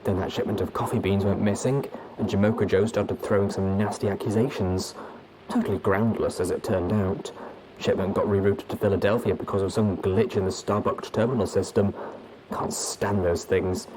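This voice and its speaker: A man's voice: fairly low pitch, steady tone, moderate pace, noisy background, close to an everyday voice. A man voicing a weary, grumbling, folksy small-town lawman pony recording an audio log in a story. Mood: weary, exasperated. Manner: folksy, exasperated.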